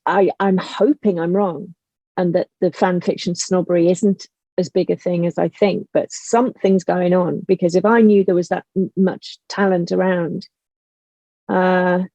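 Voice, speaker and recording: A woman's voice: on the low side, little pitch movement, measured pace, warm tone, dry recording. A woman guest writer talking casually and passionately, emphatic and full of exasperated rhetorical questions. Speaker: guest, audio drama writer.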